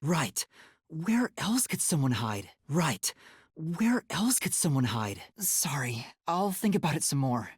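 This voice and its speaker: A man playing a timid, earnest young amnesiac trainee detective in an English video game, with a light, breathy voice and a hesitant manner. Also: a little high, dry recording, clean studio sound, heavily acted. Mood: thoughtful. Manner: hesitant, soft-spoken, stammering when flustered.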